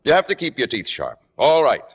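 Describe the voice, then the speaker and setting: Fast, exasperated leading man. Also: medium pitch, wildly swooping pitch, vocal fry, breathy edge, big-room sound, exaggerated voice. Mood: angry, exasperated. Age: 30s. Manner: emphatic, articulate. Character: exasperated, forthright man scolding his lover. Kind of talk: 1940s-50s radio acting.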